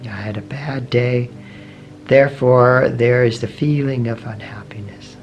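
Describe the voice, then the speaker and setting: A man guides a meditation at a very slow, measured pace. His medium-pitched voice has plenty of gravelly fry. He speaks gently in short phrases with long pauses, over soft music. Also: lo-fi sound. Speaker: meditation teacher.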